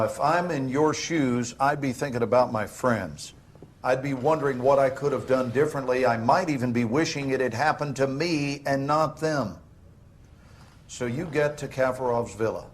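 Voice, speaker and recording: An actor playing a hard, skeptical government investigator who presses and talks down to a soldier in a military game cutscene. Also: male voice, mid-range voice, normal speed, a little hiss or hum, lightly acted. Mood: probing. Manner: stern, pressing, condescending. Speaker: interrogator.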